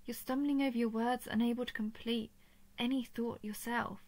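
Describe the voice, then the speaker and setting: A woman's voice: on the high side, steady tone, darkish tone. A woman voicing an affectionate, playful and easily flustered female dragon in an intimate audio roleplay. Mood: gentle, coaxing. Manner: tender, teasing, halting when flustered.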